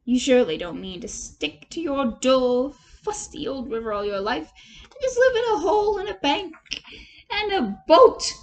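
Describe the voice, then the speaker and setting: A woman voicing a boastful, wheedling male toad gentleman from a storybook, gushing and theatrical. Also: high voice, very animated, normal speed. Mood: imploring, bossy. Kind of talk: storybook character voice.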